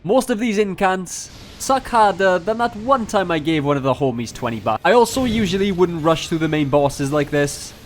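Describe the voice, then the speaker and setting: A man's voice: sits high, animated, moderate pace, hardly any pauses, slight background noise. A man narrating his gameplay as a video host, mixing mock-epic roleplay with casual, profane jokes. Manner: profane, joking. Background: music.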